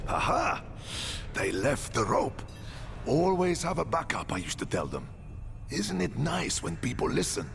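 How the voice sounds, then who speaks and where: A man's voice: medium pitch, big pitch swings, unhurried, gravelly, full character voice. An actor playing a wry, fatherly middle-aged mercenary leader and smith in a video game. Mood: friendly. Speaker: game character. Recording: game soundtrack music behind. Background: game music.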